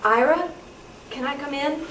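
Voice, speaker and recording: A woman's voice: high voice, measured pace. A woman playing an exasperated teenage girl babysitter, bossy but caring, in a live script reading of a spooky radio play. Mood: placating. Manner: scolding, exasperated, placating. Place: club meeting room, live reading. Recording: roomy distant microphone, noisy meeting room.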